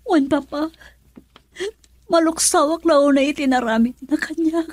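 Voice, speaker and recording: A woman's voice: high voice, moderate pace, no room sound, close to an everyday voice. A woman playing a distressed young wife in a melodramatic radio drama, fearful, tearful and pleading. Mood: upset.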